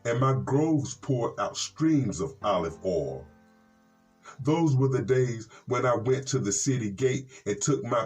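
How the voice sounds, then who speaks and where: An American man, a reverend hosting a Bible program, reads scripture and commentary in a measured, earnest way with folksy asides. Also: medium pitch, a little mellow. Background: plucked guitar music.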